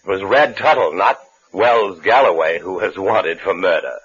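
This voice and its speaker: A man's voice: low voice, moderate pace, noisy background, natural voice. An actor playing a brooding, desperate man on the run for murder, narrating his own story in a 1950s radio suspense drama. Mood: brooding, calculating. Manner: brooding, fatalistic first-person storytelling. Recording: muffled vintage radio recording with music.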